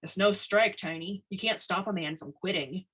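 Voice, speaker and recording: Woman reading as a husky, fatherly veteran supervisor. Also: on the low side, moderate pace, a bit bright, rough recording. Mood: firm. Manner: plain-spoken, fatherly. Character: veteran older male supervisor called Dad, wry, steady, persuasive.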